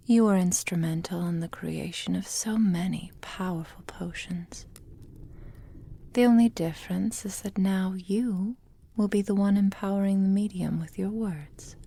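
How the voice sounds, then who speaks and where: Slow, whispering immortal witch played by a woman. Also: medium pitch, mellow, full character voice. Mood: proud, tender. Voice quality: breathy, whispery. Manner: slow, tender, intimate delivery. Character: doting, possessive immortal witch mentoring her beloved apprentice. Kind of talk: ASMR roleplay.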